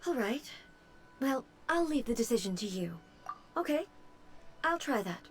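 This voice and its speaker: A woman voicing a reserved, unworldly divine ruler in a video game, speaking in a measured, formal way. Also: a little high, big pitch swings. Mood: awkward.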